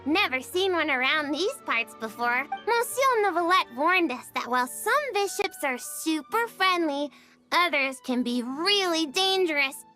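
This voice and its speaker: A woman's voice: lively intonation, measured pace, faint music behind, exaggerated voice. A woman voicing a small, cute, childlike female fairy creature in a video game, cheerful and earnest, with exclamatory delivery. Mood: cheerful, chatty. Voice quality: high, bright, childlike.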